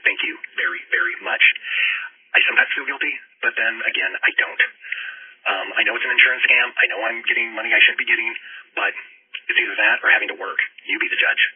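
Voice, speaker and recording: A man phoning in a confession, brisk and matter-of-fact. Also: mid-range voice. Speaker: caller. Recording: phone line.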